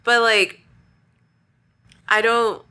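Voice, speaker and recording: Young woman guest, high bright voice, giggly, rambles and restarts a lot. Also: level tone, drawn-out words, clean tone. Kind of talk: casual chat.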